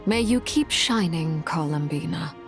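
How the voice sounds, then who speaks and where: A commanding woman of rank in a game story, with a low voice and music behind her. She is coolly courteous, formal and wry, never flustered. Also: expressive, measured pace, vocal fry, exaggerated voice. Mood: wry, encouraging. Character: composed, commanding female harbinger, coolly courteous protector. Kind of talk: video game character acting.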